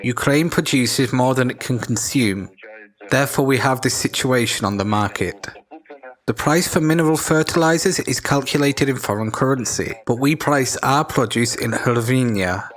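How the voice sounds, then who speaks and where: Male voice-over reader, average pitch, delivering a translated first-person interview from a script. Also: normal speed, fairly bright tone, low-quality recording.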